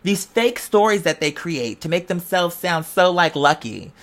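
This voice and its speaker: A woman's voice: fairly low pitch, animated, a little slow. Emphatic woman giving a slangy pep talk. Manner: emphatic, preacher-like repetition; conversational, slangy, swearing. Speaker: online video creator giving a pep talk. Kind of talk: informal motivational vlog monologue.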